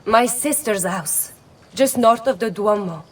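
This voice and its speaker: A woman's voice: mid-range voice, unhurried, husky. An actress playing a loyal, worried young Italian servant woman in a video game, earnest and deferential. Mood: worried, urgent. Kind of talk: dramatic video game cutscene acting. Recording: slightly distant, faint noise.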